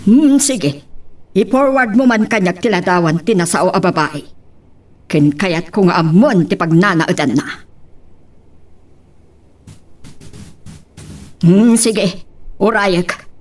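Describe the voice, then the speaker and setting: A woman's voice: on the low side, unhurried, far from the mic. A woman with an Ilocano accent playing a disapproving, nagging middle-aged mother in an audio drama, with an emphatic manner. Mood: suspicious, scheming. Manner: scolding, emphatic. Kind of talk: amateur audio drama acting.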